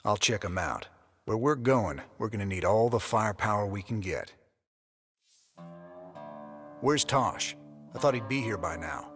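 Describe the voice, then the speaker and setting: A wry, determined space-cowboy commander from a video game. The drawl is husky and lively in pitch, heard in a reverberant room. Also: male voice, a little slow, pauses often, heavily acted. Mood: commanding. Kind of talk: video game cutscene acting. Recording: reverberant room with music underneath.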